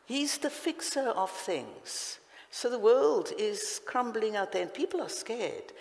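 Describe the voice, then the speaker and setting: A woman's voice: a little high, lively intonation, slow, vocal fry. A woman with a South African accent preaching a passionate, emphatic sermon. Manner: passionate, emphatic, with sudden loud lifts. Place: church hall. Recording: reverberant hall.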